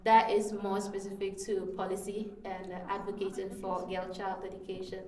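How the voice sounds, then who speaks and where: A woman's voice: medium pitch, normal speed. Young woman from northern ghana, student guest, easygoing chat w/ lots of um and uh, roomy echo, a bit far from the mic. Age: young adult. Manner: relaxed, laughs often, many fillers. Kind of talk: casual interview conversation. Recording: echoey room, voice a little off-mic.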